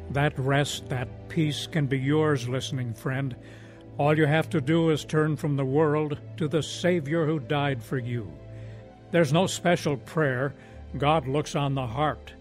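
A man's voice: fairly high pitch, fairly even intonation, slow pace, faint music behind. A man reading a scripted evangelistic announcement as a radio announcer.

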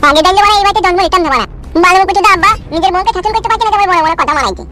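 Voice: very high voice, animated, very fast, pushed and bright, soft background music, full character voice. A performer voicing a cheeky, foul-mouthed newborn boy who talks back in a comedy cartoon. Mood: insolent.

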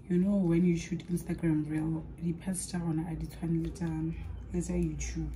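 A young South African woman chats casually to camera while she does her makeup. She talks in a rambling way, switches languages mid-sentence and trails off into soft asides. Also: sits low, noisy background. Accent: South African, mixing in Sepedi. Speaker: vlogger.

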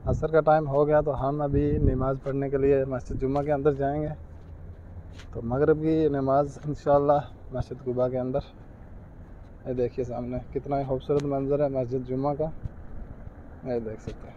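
A man's voice: mid-range voice, mellow, dull audio. A man casually narrating a devout walking tour on a handheld phone, outdoors on city streets. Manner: devout, pointing things out. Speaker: vlogger giving a walking tour. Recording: noisy outdoor phone recording.

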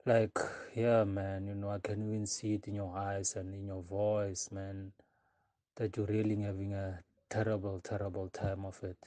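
Guy acting a concerned office man in an audio drama, soft dark tone, super emphatic, really dry sound. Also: on the low side, little pitch movement, moderate pace, no room sound, lightly acted. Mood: sombre sympathy. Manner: effusive, emphatic, repeats himself. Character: effusively concerned male acquaintance receiving a man at his office.

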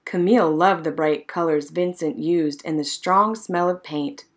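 A woman's voice: low-pitched, unhurried. Woman reading a children's storybook aloud. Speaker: storybook reader. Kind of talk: scripted narration, read-aloud of a children's picture book.

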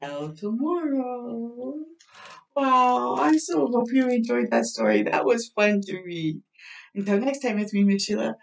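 Slow-paced woman reading to children, slightly distant. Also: a little high, creaky. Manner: expressive, child-directed, drawing out words. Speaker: children's storytime host. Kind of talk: read-aloud picture book narration with asides. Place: small home bathroom, indoors. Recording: slightly distant microphone in a room.

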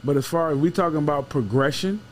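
A man's voice: a little high, fast, slight background noise. A man chatting casually about football as a show analyst, emphatic and repeating himself, with some swearing. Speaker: sports show co-host and analyst. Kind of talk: casual football postgame chat. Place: small wood-paneled studio room. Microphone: podcast microphone with headset.

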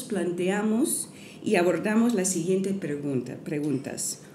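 A middle-aged woman, not a native speaker, giving a careful, halting academic lecture at a podium, partly read aloud, with many restarts. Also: medium pitch, mellow, slight background noise. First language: not Spanish. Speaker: guest lecturer. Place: university lecture hall, at a podium. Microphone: podium microphone. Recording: echoey hall, mic from a distance.

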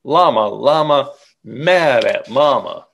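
A middle-aged man reading a children's story aloud in an expressive, deliberate way. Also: mid-range voice, creaky. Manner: animated, deliberate. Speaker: picture book reader.

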